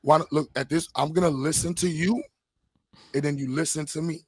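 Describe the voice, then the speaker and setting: A man hosting a live debate and reading sources aloud, insistent and repetitive, with a slightly hoarse voice. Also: a little high, steady tone, fairly quick, no room sound, clean studio sound. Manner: insistent, repeats himself. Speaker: debate host.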